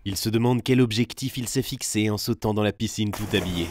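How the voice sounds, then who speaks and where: A man's voice: fairly low pitch, a little fast, darkish tone, a little hiss or hum. A man reading scripted third-person narration for a film.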